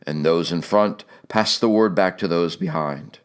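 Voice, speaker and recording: A man narrating a literary audiobook in a measured, dramatic storytelling manner. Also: low-pitched.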